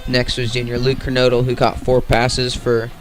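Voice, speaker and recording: American man calling sports with little pitch variation. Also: monotone, normal speed, faint music behind. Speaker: play-by-play commentator. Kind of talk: radio sports commentary.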